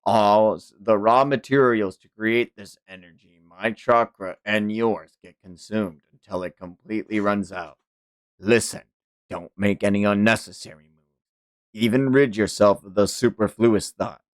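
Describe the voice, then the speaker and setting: A man's voice: on the low side, steady tone, unhurried, no room sound, close to an everyday voice. A man voicing an ancient fox spirit mentor from a comic, grave and instructive. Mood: grave, instructing.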